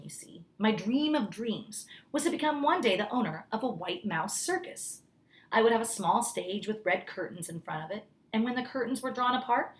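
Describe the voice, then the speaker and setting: A woman reading a children's story aloud like a teacher, expressively, pausing to explain things to young listeners. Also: on the high side, fairly bright tone. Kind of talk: children's story read-aloud with brief asides.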